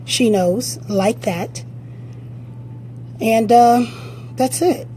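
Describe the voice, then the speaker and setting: A woman fitness coach with a raspy voice, giving blunt, direct motivational advice during a live-stream Q&A on a phone. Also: fairly high pitch, extremely slow, dark tone, slight background noise.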